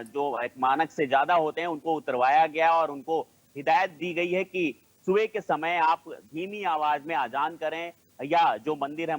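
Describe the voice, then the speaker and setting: A man's voice: a little high, rough recording. A man with a North Indian accent reporting live as a news correspondent, hesitating and leaning on stock linking phrases, over a band-limited link.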